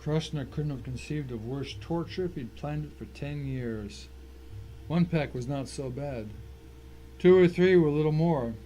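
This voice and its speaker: A man narrates a suspense story in the first person, with dramatic delivery. His voice sits slightly high, and there is faint noise behind it. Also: unhurried, warm tone, rough recording.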